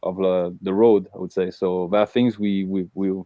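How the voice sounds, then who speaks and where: A man's voice: sits low, flat delivery, moderate pace, mellow, no room sound, muffled recording. A man from Belgium hosting a casual live interview, talking with many fillers and restarts. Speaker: host.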